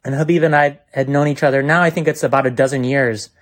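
A man's voice: mid-range voice, fairly even intonation, fast talker. A man, a venture fund co-founder, speaking confidently and articulately as a podcast guest. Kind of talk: podcast interview.

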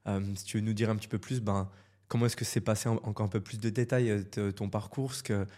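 A man hosts an in-person podcast, his voice a little low. He asks questions casually and loosely, often restarting his sentences. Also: quick pace. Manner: relaxed, chatty, stumbling restarts. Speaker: podcast host. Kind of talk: casual interview hosting.

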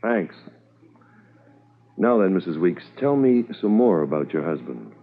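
An actor playing a brisk, authoritative police commissioner in a 1950s radio drama, methodical and official. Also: male voice, low voice, very animated. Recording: muffled, thin old radio recording.

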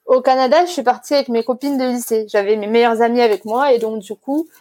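Woman, 20s, higher-pitched voice. Chatty interviewee explaining things in a relaxed, conversational way. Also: fast. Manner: chatty, explanatory, laughs easily. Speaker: interview guest. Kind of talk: casual personal interview.

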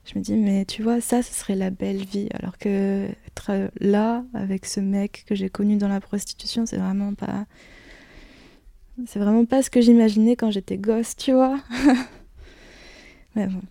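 A woman in her twenties speaks in a mellow, gravelly voice with a flat, even delivery. She talks hesitantly, with many fillers and restarts. The recording is close and dry. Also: mid-range voice, a little slow, no echo. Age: 20s. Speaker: interviewee giving a personal testimony. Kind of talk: candid personal account.

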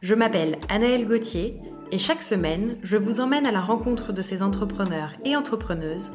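A woman hosting a podcast, reading a clear, upbeat intro and then asking the guest questions. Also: mid-range voice, animated, moderate pace. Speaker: podcast host. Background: music.

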